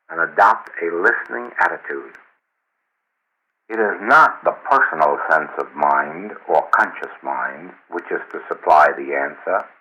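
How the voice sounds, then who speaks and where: A middle-aged or older man reading a spiritual teaching aloud in a solemn, measured, deliberate oratorical way. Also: sits low, sharp tone, poor audio. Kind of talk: read-aloud metaphysical teaching.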